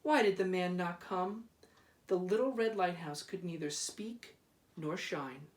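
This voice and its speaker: A man gives an expressive read-aloud of a children's story. His pace is very unhurried and deliberate, with drama in the phrasing. The sound is echoey and picked up from some distance. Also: high voice. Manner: deliberate, dramatic, drawn-out repeated words. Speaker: school principal reading aloud. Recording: roomy echo, distant microphone.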